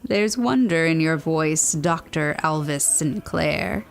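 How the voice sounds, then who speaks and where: An actress playing a grave, ancient elder of a lost civilization in an audio drama, solemn and stately. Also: female voice, low voice, lively intonation, takes it slowly, vocal fry, a little hiss or hum, full character voice. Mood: measured, probing.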